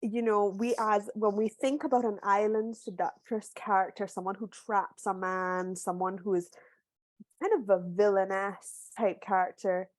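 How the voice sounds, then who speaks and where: Woman from barbados, bit hoarse w a cold, author chatting on a video call, dry sound, lots of little restarts. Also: mid-range voice, steady tone, moderate pace, no room sound. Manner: thoughtful, storytelling, many small restarts. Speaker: author guest. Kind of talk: casual book club video call conversation.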